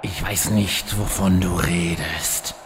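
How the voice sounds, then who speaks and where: An actor playing an irritable, easily provoked demon lord in a parody fantasy audio drama, gruff, indignant and defensive. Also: male voice, low-pitched, steady tone, takes it slowly. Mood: surly, guarded. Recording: reverberant hall, faint noise.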